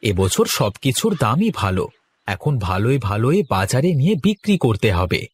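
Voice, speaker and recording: A man voicing a steady, encouraging younger farmer brother in a village cartoon, measured and reassuring. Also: mid-range voice, slight background noise, close to an everyday voice. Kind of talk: Bengali cartoon dubbing.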